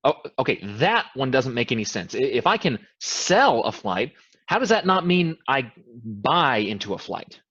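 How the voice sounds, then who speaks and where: A man playing a cocky, blunt schemer in a staged play reading, with a husky voice. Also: mid-range voice, big pitch swings, moderate pace, fairly bright tone. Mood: defensive, argumentative. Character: cocky, blunt male passenger in a pyramid scheme. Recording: dull lo-fi recording.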